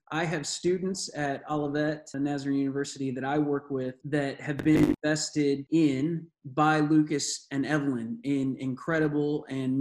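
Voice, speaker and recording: A man's voice: mid-range voice, a little mellow. A man hosting an interview, asking long, meandering questions. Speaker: host.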